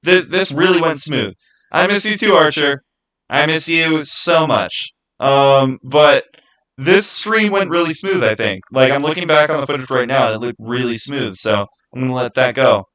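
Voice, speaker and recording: A man chatting casually to viewers as a livestream host, earnest and rambling, with halting starts and repeated phrases. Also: medium pitch, a bit bright, rough recording. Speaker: streamer, channel host.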